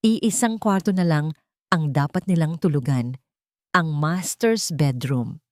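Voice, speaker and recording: A woman's voice: low voice, unhurried, darkish tone, no room sound, studio quality. A woman with a Filipino accent giving dramatic scripted narration of a romance story.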